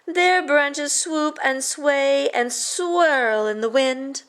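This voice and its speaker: Woman playing a high-voiced, graceful ballet teacher. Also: extremely slow, a bit bright, heavily acted. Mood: encouraging. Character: graceful, encouraging French-titled ballet teacher.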